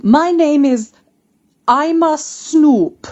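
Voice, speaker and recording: A woman acting a shady snoop in disguise in a comic audio drama, halting and falsely innocent with veiled menace. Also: high-pitched, darkish tone, dull audio. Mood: furtive menace.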